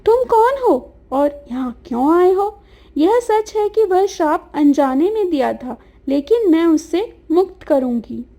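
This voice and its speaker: A woman's voice: extremely high pitch, lively intonation, measured pace, dark tone, full character voice. A woman voicing a stern, wrathful river maiden who casts curses, accusing and commanding, in a cartoon story.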